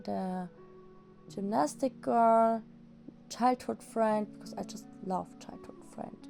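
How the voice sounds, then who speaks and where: A young woman, slightly hoarse after an illness, rambling enthusiastically as she reviews manga in a casual vlog. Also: fairly high pitch, dark tone. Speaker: manga vlogger. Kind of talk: casual book review chat.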